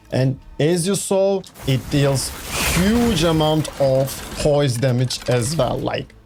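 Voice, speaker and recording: A man's voice: fairly high pitch, darkish tone. A young man with a Turkish accent, a gaming YouTuber, chattily walking through a game build with fillers and restarts. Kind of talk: casual game build walkthrough. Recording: faint background music.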